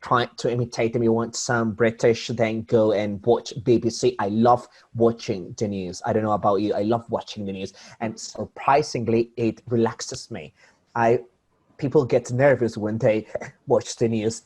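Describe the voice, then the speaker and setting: A man's voice: mid-range voice, darkish tone. Spanish-accented male English teacher, explanatory classroom delivery, talks directly to students. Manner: explanatory, addresses students directly, many restarts. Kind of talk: online class lecture.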